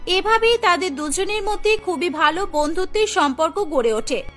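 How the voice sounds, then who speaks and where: A woman's voice: very high voice, lively intonation, normal speed, a bit bright. A woman narrating a scripted cartoon story as a storyteller, over music.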